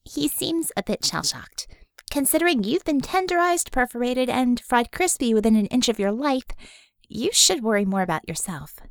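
A woman voicing a sarcastic female medic, quick, wry and clinical. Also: a little high, big pitch swings, dry recording, pitched above the performer's own voice.

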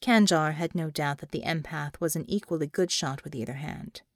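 A woman's voice: deep voice, normal speed, no room sound, studio quality. A woman reading a scripted fiction audiobook as its narrator, in a dramatic storytelling style.

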